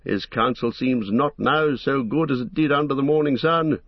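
A man's voice: medium pitch, moderate pace, dry recording, voice pushed up, natural voice. A man voicing an aging troubled king who speaks in a solemn, stately manner, on a dull lo-fi recording. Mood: doubtful. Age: older. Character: aging troubled male king, proud, grave.

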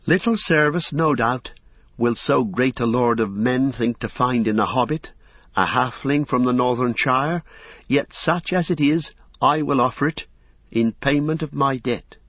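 An eager young halfling, earnest and proud, with a voice pitched well above a grown man's, as a male audiobook reader puts him on. The sound is dry and a little dull, like a close lo-fi recording. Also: measured pace, no echo, lightly acted. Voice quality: higher, youthful put-on voice. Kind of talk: audiobook character voice.

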